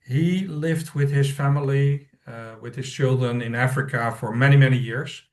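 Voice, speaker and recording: A man's voice: medium pitch, moderate pace, darkish tone. A man telling an anecdote in casual conversation as a podcast panelist, with phrasing. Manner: non-native English phrasing. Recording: distant microphone pickup.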